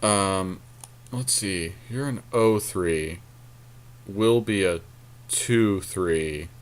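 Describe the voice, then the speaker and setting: A man's voice: deep voice, drawn-out words. A man casually commentating a card game with a co-host, thinking aloud in slangy, jokey language.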